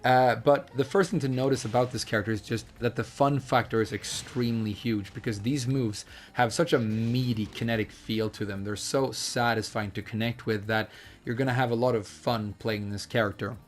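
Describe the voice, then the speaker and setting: A man with a slightly hoarse voice, casually explaining a fighting game character in a chatty, hedging way with frequent fillers. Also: medium pitch, fairly even intonation, normal speed, slight background noise. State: hoarse, losing his voice. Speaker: fighting game guide creator. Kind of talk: casual video game tutorial commentary.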